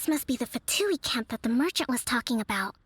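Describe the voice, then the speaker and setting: Kokomi, a calm young strategist. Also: female voice, fairly high pitch, husky. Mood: strategic. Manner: measured, formal and articulate. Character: young woman leader and strategist, calm and composed. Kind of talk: game voice acting. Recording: studio voice with soft game music.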